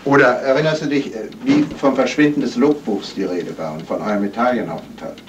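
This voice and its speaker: An actor playing a thoughtful, suspicious amateur sleuth in a deliberate 1970s German radio crime drama style. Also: male voice, medium pitch, muffled recording, lightly acted. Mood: insistent. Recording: hissy old radio play recording.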